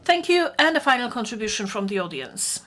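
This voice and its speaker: A woman moderating a conference panel, wrapping up in a measured, formal way. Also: fairly high pitch, very animated, fairly bright tone. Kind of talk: closing panel remarks.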